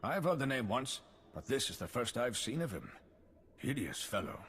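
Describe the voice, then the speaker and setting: An actor playing an earnest, anxious civilian official in a video game, formal in speech and husky. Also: male voice, medium pitch, sing-song, normal speed, a bit bright, full character voice. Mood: uneasy. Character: earnest, anxious male civilian government representative. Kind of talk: video game cutscene acting. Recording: long hall reverb.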